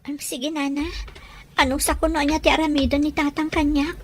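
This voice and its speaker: A high young female voice put on by an actress pleads as a fearful daughter in an audio drama. Faint ambient noise sits behind her. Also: level tone, moderate pace, close to an everyday voice. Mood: tearful pleading.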